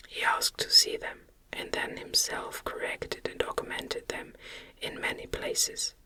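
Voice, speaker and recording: A woman reading a gothic novel aloud as ASMR in a gentle, soothing, breathy whisper. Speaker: ASMR narrator reading an audiobook.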